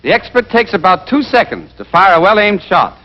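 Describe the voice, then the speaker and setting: A man playing a brisk, exacting American army rifle instructor in a scripted 1940s training film, drilling and quizzing with emphasis. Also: high-pitched, very animated. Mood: brisk, instructive. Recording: dull band-limited 1940s film soundtrack.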